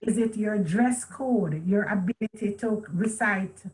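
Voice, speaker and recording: A woman's voice: mid-range voice, unhurried, darkish tone. A middle-aged woman telling a personal story as a guest on a video call, earnest and reflective, often restarting her sentences. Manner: earnest, reflective, with many restarts and quoted speech. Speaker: author and guest. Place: room at home with a chandelier. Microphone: video call webcam.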